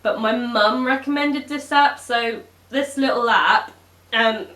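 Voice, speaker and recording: Bright-voiced young woman vlogging. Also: on the high side, slow pace. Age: 20s. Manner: rambling, filled with ums and self-corrections. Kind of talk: casual chat to camera. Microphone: camera, then webcam. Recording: echoey room.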